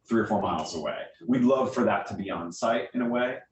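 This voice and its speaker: Echoey, low-voiced American man explaining casually. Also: brisk, muffled recording. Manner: conversational, explanatory, uses everyday analogies. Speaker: guest speaker, theatre director. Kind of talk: informal question-and-answer talk to a small meeting. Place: indoor meeting room. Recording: echoey room, distant mic, faint rumbling noise.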